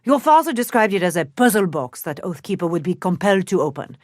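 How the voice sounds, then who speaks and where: An imperious, cruel aristocratic lady with a lowish voice that sweeps up and down. She is theatrical and sardonic, delivering dry threats in a game drama with a close studio sound. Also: big pitch swings, quick pace, no echo. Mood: probing. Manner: haughty, theatrical, dryly threatening. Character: cruel, long-lived aristocratic woman, imperious, sardonic, deadly. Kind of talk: dramatic voice acting for a game visual novel.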